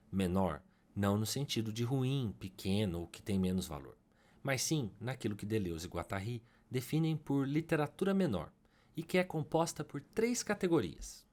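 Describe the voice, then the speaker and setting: A man with a Brazilian Portuguese accent reads a literary essay aloud in a low voice. His pitch moves a great deal, lifting on key terms and then dropping back. The delivery is measured and academic. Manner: measured, academic reading with rising emphases. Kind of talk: scripted literary analysis narration.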